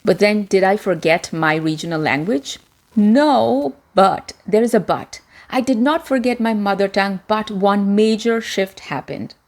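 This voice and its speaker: A woman presenter with an American-influenced transitional accent, giving a deliberate, clearly spoken self-help talk to camera. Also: mid-range voice, darkish tone.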